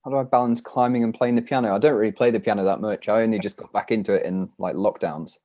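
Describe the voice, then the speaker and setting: A man's voice: on the low side, fairly even intonation, quick pace, warm tone. A British man, a climber, answering questions in a casual live chat, rambling a little as he thinks aloud.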